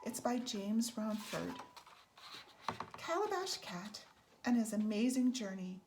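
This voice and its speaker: A woman reading a children's picture book aloud as a storyteller, in a measured, deliberate way with emphasized phrases. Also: medium pitch, big pitch swings. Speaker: storybook reader. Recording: faint background noise.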